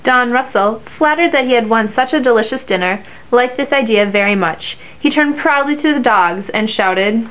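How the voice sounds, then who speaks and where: A young woman narrating a fable with a steady, clear reading for a class puppet show. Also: on the high side, moderate pace, hardly any pauses, poor audio. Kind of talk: read-aloud storybook narration for a class project.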